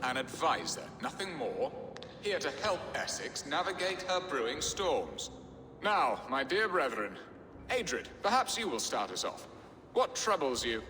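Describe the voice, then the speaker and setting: Flowery, animated English lord. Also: male voice, a little high, measured pace, exaggerated voice. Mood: placating, pompous. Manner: florid, theatrical, pompous. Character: foppish, flowery middle-aged English lord, easily flustered. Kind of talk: theatrical video game acting. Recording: reverberant hall, faint background noise.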